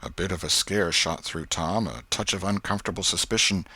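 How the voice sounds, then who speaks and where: A man reading literary narration as an audiobook narrator, in an expressive, measured storytelling manner. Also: low voice, husky, no echo.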